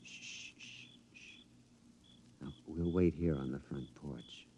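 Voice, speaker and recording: An actor playing a middle-aged henpecked husband, excitable and stammering with giggles, in a 1950s radio drama. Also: male voice, very deep voice, slow, gravelly, slight background noise, full character voice. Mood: hushed.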